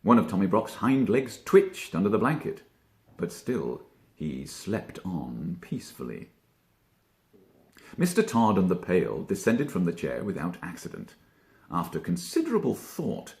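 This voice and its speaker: A man narrating a children's story theatrically, expressive and deliberate, drawing out key words. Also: deep voice. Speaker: storybook reader, stage actor.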